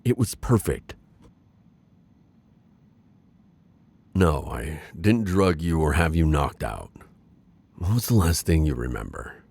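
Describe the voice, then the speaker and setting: A man playing a gloating, lovestruck dark warlord in an intimate roleplay audio, with theatrical, playful authority and lingering pauses. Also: a bass voice, big pitch swings, vocal fry, breathy edge, full character voice. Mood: puzzled, probing.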